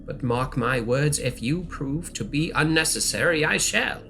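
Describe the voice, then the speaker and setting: A man's voice: on the high side, measured pace, gravelly, sharp tone, faint music behind, heavily acted. A man playing a menacing, controlling knight from a nightmare, speaking in a theatrical, threatening way in an audio drama. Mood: sinister, taunting. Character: menacing dream knight, a dark, controlling male apparition.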